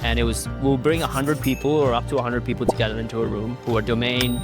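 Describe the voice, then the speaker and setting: A man's voice: medium pitch, level tone, quick pace, over music. A young man raised in Sydney, a guest telling his story in a loose, chatty way full of "like" and "sort of". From India, raised in Sydney, Australia. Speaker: guest, city founder. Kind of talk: casual interview talk.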